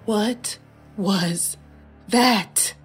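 A woman's voice: medium pitch, very slow, husky, full character voice. A woman acting an uneasy, questioning woman in an old-time radio horror drama, hesitant in her delivery. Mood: alarmed. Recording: music under the voice. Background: music.

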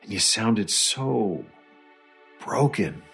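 Tired troubled doctor, really low slow voice, grave and hesitant, gently questioning, audio drama. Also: male voice, animated, vocal fry, husky, soft background music, exaggerated voice. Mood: troubled, regretful. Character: weary, troubled doctor gently interviewing a survivor. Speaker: interviewer.

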